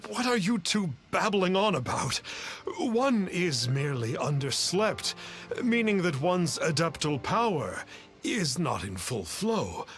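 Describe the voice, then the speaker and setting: A man's voice: on the high side, big pitch swings, takes it slowly, fairly bright tone, exaggerated voice. A man playing a boastful fake exorcist in a game, with pompous, archaic, haughty speech. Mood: haughty, evasive. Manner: pompous, archaic, calls himself "one". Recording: game music behind. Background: game music.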